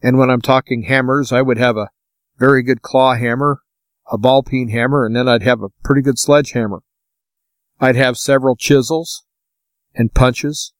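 A man hosting a talk podcast solo, giving practical, plainspoken advice in informal, deliberate phrases with short pauses. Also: medium pitch, dry recording. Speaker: podcast host.

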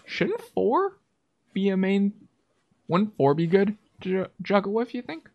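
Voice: medium pitch, very animated, a little slow, highs cut off. A livestream host chatting casually with a guest in an informal, encouraging way. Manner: informal and encouraging, with 'man' interjections. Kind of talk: casual chat.

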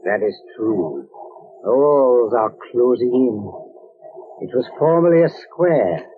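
An actor in a 1940s radio horror drama playing a sinister apparition who torments with cold, mocking politeness. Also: male voice, mid-range voice, lively intonation, breathy edge, phone-quality sound. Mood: cold taunting. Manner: slow, deliberate, mockingly polite. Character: sinister taunting male apparition, coldly patient tormentor. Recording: thin band-limited old radio recording.

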